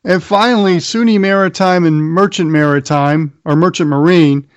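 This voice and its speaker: A man's voice: sits high, moderate pace, no echo, highs cut off. An American man hosting a sports show, running through college football news and previews. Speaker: sports radio show host. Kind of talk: sports round-up, partly scripted narration.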